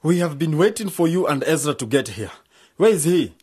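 Polite young male student, keen yet hesitant, husky and animated, for radio drama. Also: on the high side, a little fast. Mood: curious.

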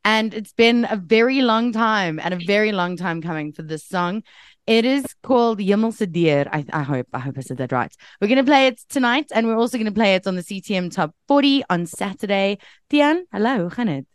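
Female radio host, smooth clear voice, playful and upbeat, dry studio sound. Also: medium pitch, expressive, brisk, no echo. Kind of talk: radio interview.

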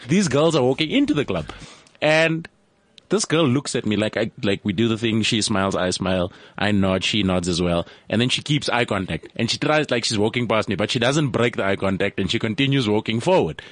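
A man's voice: fairly low pitch, expressive, a little fast, dry recording. A young man from South Africa telling a rambling comic anecdote on a podcast, often repeating words as he goes. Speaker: comedian guest. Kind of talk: casual storytelling.

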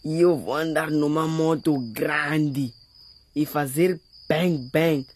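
A woman playing a tough-talking, boastful child with a husky voice in a radio drama. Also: sits low, takes it slowly. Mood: swaggering. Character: tough-talking child boasting of motorbikes and fighting bad men.

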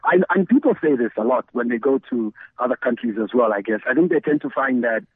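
A thin, phone-like connection carries a middle-aged man. His voice is a little husky and dry of room sound, and he talks like an interview guest recounting his own story. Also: medium pitch, animated, fast talker, dry recording. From Zambia, the Copperbelt. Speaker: guest, radio DJ and station founder. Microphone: remote call connection.

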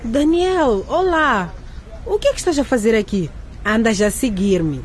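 Sly, taunting young schemer. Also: female voice, fairly high pitch, animated, a little slow. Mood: cool, suspicious. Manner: taunting, sardonic. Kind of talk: radio drama acting.

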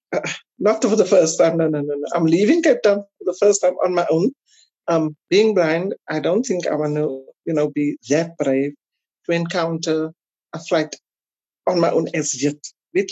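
A South African woman tells personal stories in a relaxed podcast interview. Her voice is mellow and husky, and she acts out remembered remarks as she goes. The recording is dry and somewhat dull, with little high-end detail. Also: no room sound. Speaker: podcast guest. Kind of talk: casual interview chat, telling personal stories.

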